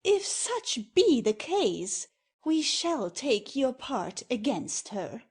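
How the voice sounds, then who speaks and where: A woman's voice: high voice, big pitch swings, slow, breathy edge, heavily acted. An actress playing a haughty, disapproving noble mother-in-law in a classic comedy audio play, affronted and grand.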